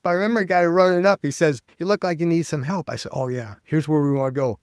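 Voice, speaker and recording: An older American man, a public speaking coach, chatting as an interview guest and telling stories with lively quoted voices. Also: fairly high pitch, fast, clear, resonant tone, no echo, clean studio sound. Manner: animated storyteller, acts out quoted voices. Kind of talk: casual interview chat.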